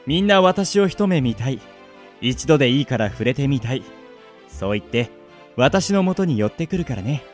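A man's voice: mid-range voice, big pitch swings, normal speed, soft background music, close to an everyday voice. A man playing a pompous, self-adoring legendary love evangelist, grandiose and theatrical, in a comic radio drama. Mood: boastful.

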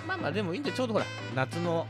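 A man hosting a radio show with lively, casual banter among friends. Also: high voice, very fast, music in the background.

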